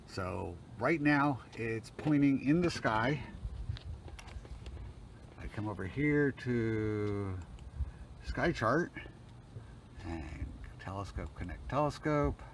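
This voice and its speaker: A man giving an informal technical demo as a hobbyist, narrating step by step in a plain-spoken way. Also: medium pitch. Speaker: hobbyist demonstrating a homemade telescope automation setup. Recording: noticeable background noise, homemade video.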